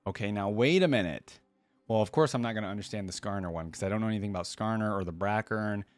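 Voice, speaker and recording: A man's voice: on the low side, quick pace, smooth, dry recording. A man giving chatty, musing off-the-cuff reaction commentary, close to the microphone. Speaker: reaction video host.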